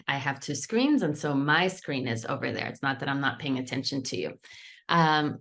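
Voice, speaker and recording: A woman from Brazil speaks with a low-pitched voice as a coach and author giving a webinar over a video call. She talks in a chatty, loose way, full of fillers and playful asides. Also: a bit bright. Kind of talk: webinar presentation.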